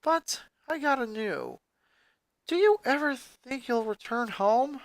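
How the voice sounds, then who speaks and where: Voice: high-pitched, animated, takes it slowly, voice pushed up, exaggerated voice. A performer voicing a loyal, concerned young boy, the best friend in a story reading. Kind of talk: acted fan fiction reading.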